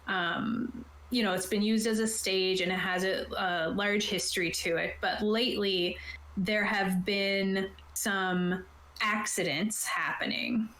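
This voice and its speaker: A woman's voice: mid-range voice, fairly even intonation, takes it slowly, a bit bright. A woman game master casually explaining a tabletop game setup, chatty and informal with jokey asides. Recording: roomy, echoey sound.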